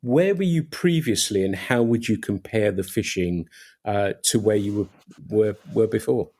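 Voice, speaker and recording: A man's voice: on the low side, normal speed, darkish tone. A man hosting a podcast interview, speaking in a hesitant, thoughtful way as he searches for words. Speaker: podcast host.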